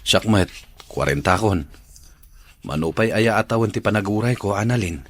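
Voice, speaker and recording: A man's voice: fairly low pitch, animated, moderate pace. A man with an Ilocano accent acting a patient, devoted bachelor uncle of forty in a radio drama. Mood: earnest, pleading.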